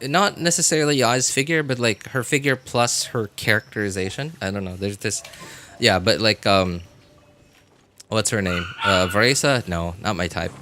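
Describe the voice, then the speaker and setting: A man chatting casually as he streams a game, rambling and halting as he hunts for words in a self-deprecating way. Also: on the low side, bright, forceful tone. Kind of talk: casual livestream chat while gaming.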